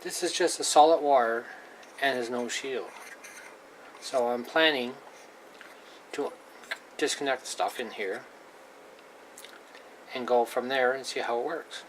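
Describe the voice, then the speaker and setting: A man giving a casual, unscripted hands-on demonstration of radio gear, plain-spoken and halting, often repeating himself. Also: mid-range voice. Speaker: hobbyist presenter demonstrating radio equipment. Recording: clearly noisy amateur recording.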